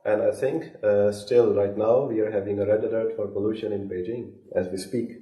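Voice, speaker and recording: A man's voice: lowish voice, fairly even intonation, a little fast, dark tone. A man from Pakistan, a doctoral student, giving information with some hesitant fillers. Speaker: PhD student. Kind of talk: scripted discussion. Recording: echoey room, distant mic.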